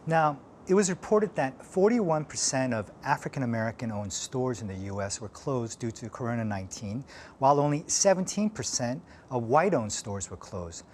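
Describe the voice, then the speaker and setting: Formal male host, lively intonation, noisy background. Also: mid-range voice, moderate pace. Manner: polite, formal. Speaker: TV interview host. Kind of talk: formal scripted interviewing.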